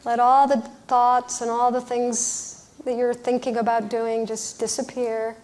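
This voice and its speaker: A woman workshop leader leading a guided visualization in a gentle, soothing manner, speaking in short phrases with pauses. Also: on the high side, level tone. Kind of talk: guided meditation, unhurried storytelling instruction.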